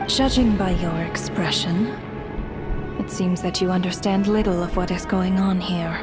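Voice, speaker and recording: A woman voicing a stern, haughty authority figure in a video game, formal, measured and condescending. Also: lowish voice, close to an everyday voice. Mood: cold, suspicious. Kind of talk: video game cutscene acting. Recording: loud music behind the voice.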